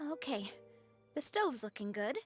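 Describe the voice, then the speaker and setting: A woman playing a kind, spirited young boss in a video game, with a gracious, encouraging and earnest manner. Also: a little high. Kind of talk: video game character acting.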